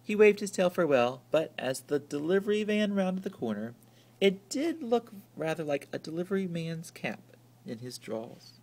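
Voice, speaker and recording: Man, children's storybook narrator, theatrical delivery, very lively pitch, hushed to booming. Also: normal speed. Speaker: storybook reader.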